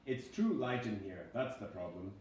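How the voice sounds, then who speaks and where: Man as a low, weary daddy monster. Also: expressive, lightly acted. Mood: soothing. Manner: soft growling, soothing, drawn-out. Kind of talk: storybook read-aloud character voice. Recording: very echoey room, distant mic, faint noise.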